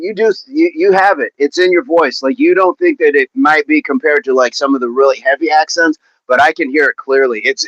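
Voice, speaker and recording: A man's voice: on the high side, fairly bright tone, no echo, poor audio. A man hosting a fitness livestream, chatting casually and answering viewer comments in an upbeat, laughing, repetitive way. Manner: chatty, upbeat, laughs often, repeats phrases.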